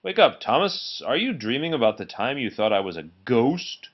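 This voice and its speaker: A man's voice: mid-range voice, very animated, moderate pace, lo-fi sound, voice pushed up. A man voicing a cheeky, easily frightened young male steam engine in a children's storybook. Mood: teasing.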